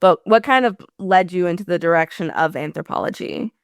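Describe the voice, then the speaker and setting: A young woman hosts an interview in an informal, validating style. Her voice is strikingly smooth and clean, a little on the low side, with a dry studio-like closeness. She chats casually. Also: fairly quick, no echo. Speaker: podcast host.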